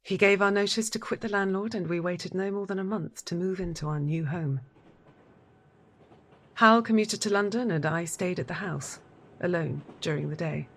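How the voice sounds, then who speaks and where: Low, breathy, introspective wife. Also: female voice. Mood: withdrawn, reflective. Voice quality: breathy, husky. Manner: introspective, deliberate, dramatic pauses. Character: troubled deafened wife recounting her withdrawn life. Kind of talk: first-person audio drama narration.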